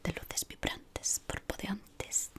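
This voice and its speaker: A woman whispers slowly and deliberately. She plays a polite, exacting decorator in an ASMR roleplay, with a breathy delivery and careful pauses. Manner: slow, deliberate, pausing, softly spoken. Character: polite but exacting decorator of an eerie office maze.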